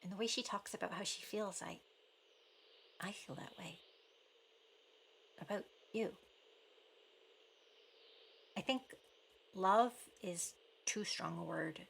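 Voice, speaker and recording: Creaky-voiced, guarded young woman. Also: mid-range voice, a little hiss or hum. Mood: regretful, pleading. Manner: hesitant, halting, blunt when rattled. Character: guarded, conflicted young woman chatting over two-way radio. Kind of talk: naturalistic radio play acting.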